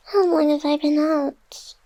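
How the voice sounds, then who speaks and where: Woman acting a high-voiced, guarded yet smitten AI girlfriend. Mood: drowsy, teasing. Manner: tsundere, teasing, flustered denials over soft confessions. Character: playful guarded female AI, secretly tender and smitten. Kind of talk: intimate ASMR roleplay acting.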